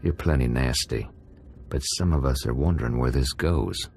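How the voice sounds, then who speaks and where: A man playing a tough, laconic, suspicious prison gang convict in a game, gruff, clipped and wry. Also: a bass voice, normal speed, darkish tone, soft background music. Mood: wary, blunt. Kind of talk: game cutscene voice acting.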